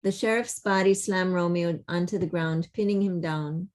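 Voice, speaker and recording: A woman's voice: on the low side, steady tone, normal speed, darkish tone. A woman reading stage directions as scripted narration for a play table read over a video call.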